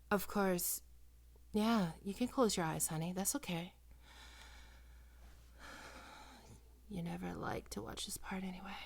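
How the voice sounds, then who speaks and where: Woman doing a doting vampire lady doctor for asmr roleplay, coaxing and teasing, mid pitch, slips into whispering a lot. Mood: soothing, hushed. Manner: coaxing, cooing, teasing, often dropping to breathy whispers. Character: female vampire posing as a doting, possessive small-town doctor. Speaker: doctor character in audio roleplay.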